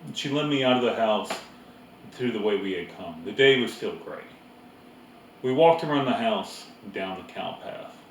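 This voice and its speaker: A man's voice: on the low side, lively intonation, moderate pace. A man reading a storybook aloud as narrator. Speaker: reader. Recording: echoey room, distant mic, faint noise.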